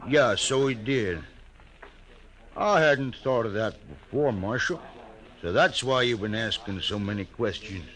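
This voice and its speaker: Bright-voiced folksy hide skinner. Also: male voice, fairly low pitch, measured pace, a little hiss or hum. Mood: wary. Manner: folksy drawl, eager and wary. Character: rough, nervous frontier hide skinner. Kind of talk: 1950s radio western acting.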